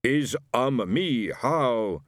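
A man voicing a medium who fakes a solemn male spirit guide in a dark, halting voice with many ums. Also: medium pitch, lively intonation, dry recording, clean studio sound. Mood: mock-solemn. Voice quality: dark, hesitant.

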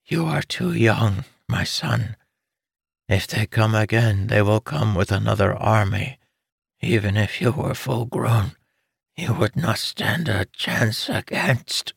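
A man voicing a dying winged mother creature in a storybook reading, weak, slow and pleading. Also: fairly low pitch, vocal fry, husky, no echo, studio quality, pitched above the performer's own voice, heavily acted. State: weak from illness.

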